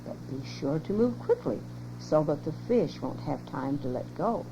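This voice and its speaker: An older woman reading aloud, voicing a sly, smooth-talking old male fox in a storybook, on a lo-fi home cassette tape with hum. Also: sits low, sing-song, moderate pace, voice pushed down. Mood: coaxing.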